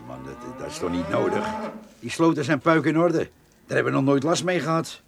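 An actor playing a grumbling, chatty older countryman in a radio play, gravelly, muttering and folksy. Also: medium pitch, animated, moderate pace, noisy background. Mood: wary. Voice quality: gravelly, husky. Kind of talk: Dutch radio play acting.

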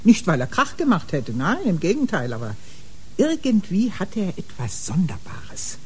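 An actress playing a talkative, observant married neighbour eager to help the police, chatty and emphatic, in a radio crime drama. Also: female voice, fairly low pitch, huge pitch swings, normal speed, a little mellow, breathy edge, heavily acted. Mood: chatty, certain.

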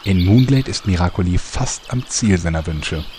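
Deep-voiced male narrator. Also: expressive, normal speed, a little mellow, a little hiss or hum. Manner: dramatic soap-opera announcer. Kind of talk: scripted narration.

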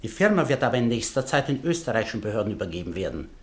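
A man's voice: fairly low pitch, sing-song, racing. An actor playing a blunt, threatening male henchman in a radio play, speaking curtly. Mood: menacing. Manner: curt, threatening. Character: blunt, menacing male henchman named Leo.

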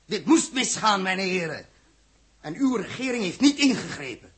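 Een acteur die in een hoorspel uit de jaren zestig een felle, verontwaardigde ruimtekapitein speelt, gepassioneerd en beschuldigend. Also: male voice, sits high, big pitch swings, moderate pace, a bit bright, breathy edge, full character voice. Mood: indignant, accusing. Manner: impassioned, accusatory, rhetorical. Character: fiery, indignant male space captain confronting the government. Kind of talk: 1960s Dutch radio drama acting.

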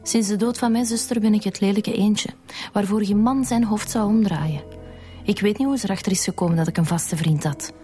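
A woman's voice: mid-range voice, fairly quick, warm tone, close to an everyday voice. An actress with a Flemish accent playing a bitter, vengeful young woman in a radio drama. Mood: cold, resentful. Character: bitter, vengeful young woman confessing to killing her mother. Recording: music behind the voice.

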